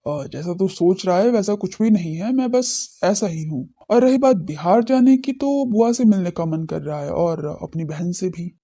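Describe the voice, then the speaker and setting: A woman's voice: fairly low pitch, expressive, moderate pace, few pauses, mellow, voice pushed down. A woman voicing serious, brooding young men in a romance audio drama, with stern, restrained delivery. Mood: guarded. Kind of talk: audio drama character dialogue.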